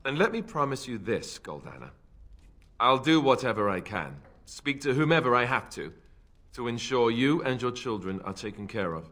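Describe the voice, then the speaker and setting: A man playing an earnest, insecure, soft-hearted young warrior in a video game, speaking hesitantly. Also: mid-range voice, big pitch swings, normal speed. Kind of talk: video game character acting.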